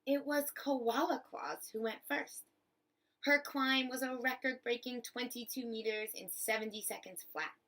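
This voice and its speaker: A woman reading a picture book aloud to children like a teacher, with expressive, deliberate phrasing. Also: fairly high pitch. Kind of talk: storybook read-aloud for children.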